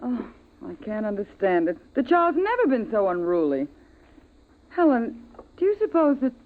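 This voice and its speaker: Casting: fretful suburban mom, stern but tender, highish animated voice, vintage radio drama sound. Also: female voice, moderate pace, a little hiss or hum. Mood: puzzled, worried. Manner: expressive, fretful. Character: anxious suburban mother, stern yet tender with her daughter. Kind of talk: 1950s radio drama acting. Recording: tinny, band-limited vintage radio recording.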